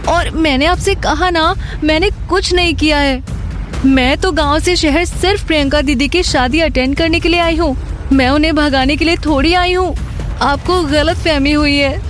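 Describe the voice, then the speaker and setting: A woman's voice: high voice, moderate pace, close to an everyday voice. A woman playing an innocent, frightened young village woman in an audio drama, pleading earnestly and politely. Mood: anxious protesting. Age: young adult. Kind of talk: Hindi audio drama acting. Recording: music bed under the voice.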